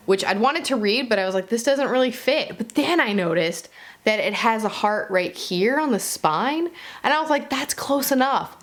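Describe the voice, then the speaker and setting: A woman giving a casual, chatty book review to camera, informal and conversational, with playful asides and self-corrections. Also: medium pitch.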